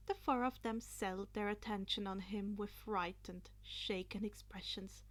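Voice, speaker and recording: A woman reading fan fiction aloud as scripted narration, in a dramatic, deliberate manner. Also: mid-range voice, steady tone.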